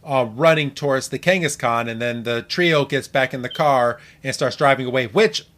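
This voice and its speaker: A man's voice: mid-range voice, normal speed, a bit bright. A man co-hosting a podcast, casually recapping a cartoon episode and often repeating phrases as he explains. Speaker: podcast co-host.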